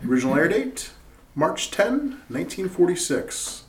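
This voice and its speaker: A man announcing and narrating the opening of a 1940s-style radio play in a measured, formal way. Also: high voice, very animated, a little hiss or hum. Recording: echoey room, distant mic.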